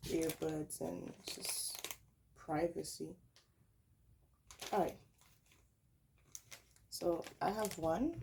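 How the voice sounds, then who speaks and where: A young woman talks slowly and unhurriedly, with a raspy, gravelly voice that sits a bit low. She sounds tired and rambles as she taste-tests snacks at home, correcting herself as she goes. There is noticeable noise behind her. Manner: rambling, self-correcting, chatty. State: tired, slightly hoarse. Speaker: snack reviewer vlogger. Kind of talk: casual vlog, taste-testing snacks. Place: at home, indoors.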